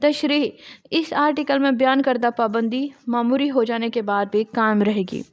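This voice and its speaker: A woman's voice: a little high, fairly even intonation, normal speed, dull audio. A woman giving a law lesson, reading out formal text and explaining it by posing and answering her own questions. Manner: explanatory, poses questions and then answers them. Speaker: law lecturer.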